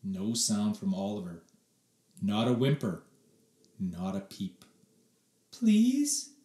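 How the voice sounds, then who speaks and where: A man reading a children's story aloud expressively, with theatrical emphasis and dramatic pauses. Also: fairly low pitch, darkish tone, echoey room. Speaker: read-aloud storyteller, teacher.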